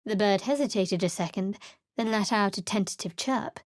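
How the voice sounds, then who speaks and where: A woman's voice: medium pitch, normal speed, dry recording, close to an everyday voice. A woman voicing a sardonic, wary cleric. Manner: dry, cutting, wary. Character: guarded sardonic young woman cleric adventurer. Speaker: game character. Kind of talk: studio game voice acting and narration.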